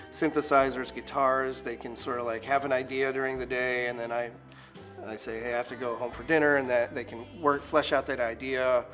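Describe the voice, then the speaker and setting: Guy in his 40s chatting about his studio, loose and rambly, lots of likes and you knows, faint music under him. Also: medium pitch. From United States. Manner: relaxed and rambling, many fillers and restarts. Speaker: recording studio owner. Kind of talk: casual documentary interview.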